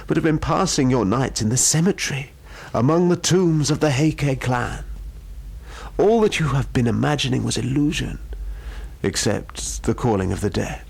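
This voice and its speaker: A man's voice: mid-range voice, gravelly. A man voicing a grave, protective temple priest who speaks in solemn warnings, in a ghost story.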